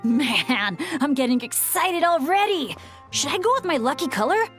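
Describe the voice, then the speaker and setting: A woman's voice: high-pitched, very animated, normal speed, a bit bright. A woman playing a sunny, enthusiastic young adventurer girl in a video game, upbeat and friendly, with music behind. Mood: excited.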